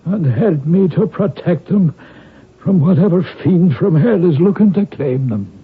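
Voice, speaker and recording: An actor playing a loyal, humble Irish manservant with a rough, husky voice and an Irish accent, in old radio drama style. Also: male voice, high-pitched, animated, measured pace, background noise. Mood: frightened, pleading. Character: loyal, humble male Irish family servant. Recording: narrow-band old radio broadcast.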